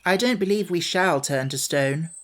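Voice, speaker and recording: A woman's voice: fairly low pitch, normal speed, a bit bright, natural voice. A woman voicing a kind young boy in a children's storybook, reassuring and matter-of-fact. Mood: reasoning.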